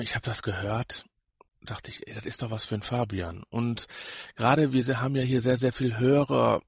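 A man co-hosting a podcast in casual chat, husky and creaky, rambling and searching for words. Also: mid-range voice, no room sound. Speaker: podcast co-host.

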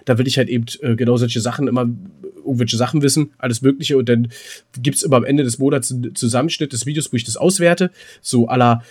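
A man's voice: mid-range voice, fast, a little mellow, husky. A man co-hosting a podcast, chatting colloquially and repeating himself for emphasis. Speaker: podcast co-host. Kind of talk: casual podcast chat.

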